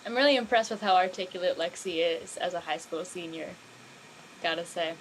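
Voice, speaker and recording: A woman's voice: fairly low pitch, a little fast, slight background noise, rough recording. A woman talking as a panelist in a casual post-show discussion, thoughtful and conversational. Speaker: cast member panelist.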